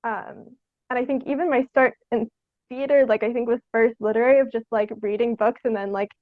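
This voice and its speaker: A woman's voice: fairly high pitch, steady tone, a little fast, no echo, phone-quality sound. A young woman chatting casually as an interview guest, tentative and meandering, with "like" sprinkled all through her sentences. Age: young adult. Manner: tentative, meandering, heavy use of "like". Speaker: podcast guest, theatre artist.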